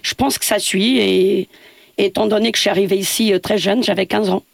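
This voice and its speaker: A woman's voice: medium pitch, fairly even intonation, a little fast, gravelly, no room sound. A woman from Portugal, a building caretaker speaking as a radio interview guest, hesitant with many fillers and restarts.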